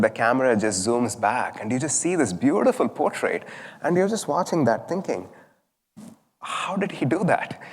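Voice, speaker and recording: A man from southern India, a Tamil speaker, giving a thoughtful, story-driven spiritual talk with frequent fillers. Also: medium pitch. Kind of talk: reflective sermon talk. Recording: long hall reverb.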